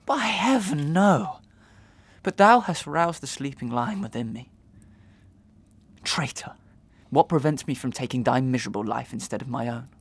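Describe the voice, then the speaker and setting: An actor playing an anguished, wronged young gentleman in a Victorian audio melodrama, speaking in declamatory, archaic, impassioned style with a creaky voice. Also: mid-range voice, lively intonation, normal speed. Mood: outraged, desperate. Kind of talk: Victorian stage melodrama acting in an audio drama.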